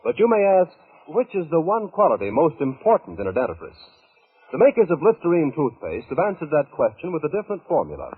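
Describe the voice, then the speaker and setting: A man's voice: a little high, wildly swooping pitch, quick pace, husky, phone-quality sound. A man reading a scripted 1940s radio commercial in a formal, polished sales manner. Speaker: radio announcer reading a sponsor's ad. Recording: band-limited vintage radio recording.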